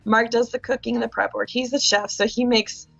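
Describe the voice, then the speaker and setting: A woman's voice: on the high side, fairly even intonation, fairly quick, gravelly, fairly bright tone, dry recording, soft background music. Woman food blogger chatting on a podcast, talks a lot, muffled lo-fi audio. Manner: chatty, detailed explainer. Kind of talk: casual interview chat. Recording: dull lo-fi recording.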